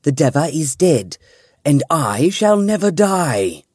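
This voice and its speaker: A man reading fantasy fiction aloud, voicing a cruel, furious woman sorceress who growls and shouts. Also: extremely high pitch, huge pitch swings, very slow, breathy edge, no echo, clean studio sound, voice pushed up, full character voice.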